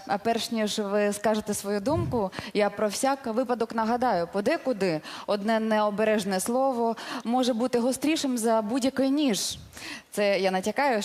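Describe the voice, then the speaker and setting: A woman's voice: mid-range voice, a little mellow. A woman presenting a live talent show on television. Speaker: TV show host. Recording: live studio with audience, faint noise.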